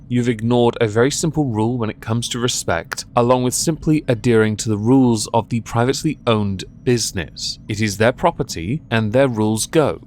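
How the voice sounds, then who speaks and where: A man's voice: medium pitch, a little hiss or hum. A man hosting a commentary on viral clips, talking in a sardonic, scolding way. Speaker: commentary show host.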